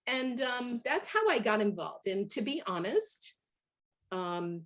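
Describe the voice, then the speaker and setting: A middle-aged woman from Hungary, a guest in an interview, telling her story in a lively way with fillers and laughs. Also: on the high side, slow pace. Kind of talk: conversational interview.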